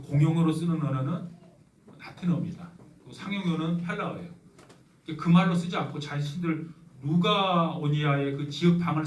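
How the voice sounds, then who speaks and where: A middle-aged male pastor from Yeosu with a Jeolla accent, preaching a sermon in a warm, conversational storytelling way with touches of humor. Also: fairly high pitch, distant mic, a little hiss or hum. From Yeosu, South Korea. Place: church sanctuary. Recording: echoey church hall from afar.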